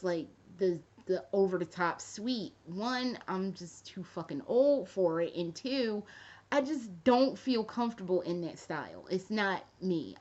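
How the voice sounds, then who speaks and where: Profane rambling woman vlogger. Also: mid-range voice. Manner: rambling, profane, with jokey asides. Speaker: fashion vlogger. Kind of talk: casual chat to camera. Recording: dull lo-fi recording.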